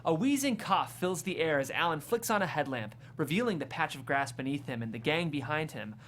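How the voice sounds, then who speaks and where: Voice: mid-range voice, expressive, fairly quick, a bit bright. Casting: narrator for scene directions at a live script reading, with slight ambient noise. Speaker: reader of stage directions. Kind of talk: scripted narration at a table read.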